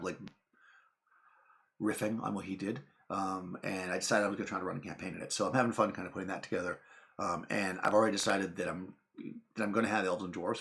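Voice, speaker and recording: A man's voice: lowish voice, creaky, a bit bright. A man hosting his channel, talking casually to camera in a rambling, chatty way with lots of fillers and asides. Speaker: channel host.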